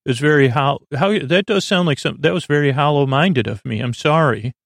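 A man with a creaky voice hosting a sleep podcast, meandering and hesitant as he drifts into tangents to lull listeners. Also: a little high, a little fast, dry recording, studio quality. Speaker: podcast host. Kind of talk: rambling bedtime monologue meant to lull listeners to sleep.

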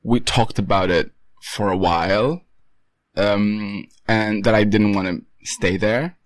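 A young man from Portugal, a photographer, talking casually as an interview guest with many pauses and restarts. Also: on the low side, steady tone, no echo.

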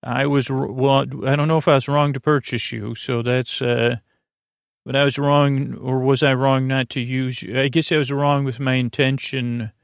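An American man hosting a sleep podcast in a rambling monologue, halting and often interrupting himself as he meanders along. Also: medium pitch, little pitch movement, vocal fry, no room sound, studio quality. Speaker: podcast host.

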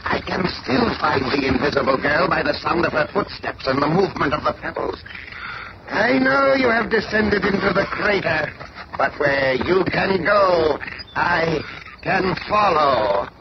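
Casting: grandiose alien menace, high-pitched and swooping, on a noisy old radio recording. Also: male voice, lively intonation, unhurried, muffled recording. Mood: menacing. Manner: grandiose, declamatory. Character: menacing alien villain, savage and theatrical. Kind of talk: old-time radio drama acting.

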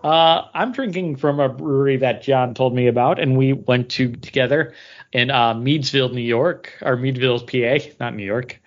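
A man's voice: medium pitch, normal speed, highs cut off. A man co-hosting a podcast with casual banter, telling stories and acting out bits of dialogue. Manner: animated storytelling, acting out dialogue. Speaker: podcast co-host.

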